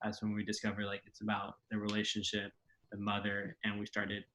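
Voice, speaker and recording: A man's voice: on the low side, monotone, a little fast, pushed and bright, a little hiss or hum. A young man, a Mexican American writer and actor from Texas, telling a story in an easygoing, hesitant way with many fillers. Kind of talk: casual interview.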